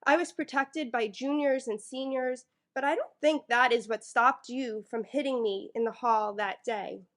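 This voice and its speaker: Woman poet from New York, higher voice, nervous between poems, reads at a library mic. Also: moderate pace, fairly bright tone. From New York, United States. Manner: a little nervous, many fillers between poems. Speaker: featured poet. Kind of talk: reading her own poetry live. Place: public library event room. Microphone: microphone in front of a live audience.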